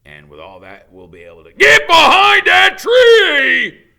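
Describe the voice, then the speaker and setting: Guy doing a burly gruff gamekeeper from a storybook, wary but kind, stumbles over words, forceful, up and down a lot. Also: very high voice, very animated, unhurried, voice pushed up, exaggerated voice. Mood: shouting alarm. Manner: folksy, hesitant, ungrammatical speech.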